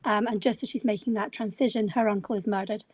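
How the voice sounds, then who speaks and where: A woman's voice: medium pitch, fairly even intonation, quick pace, a little mellow, slightly rough, no echo. A woman from Scotland, a crime writer speaking on a panel over a video call, modest and slightly hesitant. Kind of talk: panel discussion.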